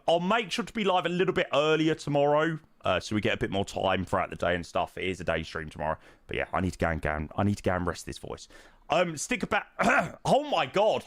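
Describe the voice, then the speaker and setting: A man with a hoarse, croaky voice, chatting casually to his stream audience and rambling with lots of ums. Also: on the high side, fairly bright tone, dry recording. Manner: rambling, self-interrupting, frequent ums. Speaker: flight sim streamer.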